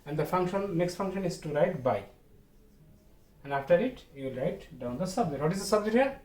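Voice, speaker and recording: High-pitched Bangladeshi male teacher, echoey room. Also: lively intonation, brisk, a little mellow. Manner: didactic, repetitive, asks and answers own questions. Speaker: school teacher. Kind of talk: online grammar lesson. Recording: roomy echo, distant mic, faint noise.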